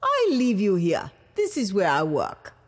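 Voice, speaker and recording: A woman's voice: mid-range voice, wildly swooping pitch, measured pace. A woman voicing a proud, earnest Liberian government man in an audio drama, with a Liberian accent and an emphatic, formal way of repeating himself. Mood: friendly, formal. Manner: emphatic, repetitive, addressing listeners formally.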